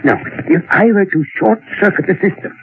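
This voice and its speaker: An elderly professor in a vintage radio play. He is grave and resolute, giving deliberate, authoritative instructions. The sound is tinny and narrow, like an aged broadcast. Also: high voice, slightly rough, heavily acted. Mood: intent planning. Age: older. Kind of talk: old radio drama acting. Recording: tinny old radio recording.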